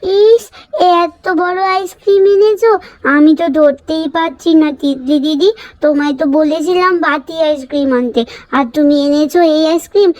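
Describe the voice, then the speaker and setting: A woman's voice: high voice, normal speed, darkish tone, voice pushed up, lightly acted. A woman voicing a demanding, chatterbox little girl doll in a story, with a whiny, pouty, childish manner. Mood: complaining.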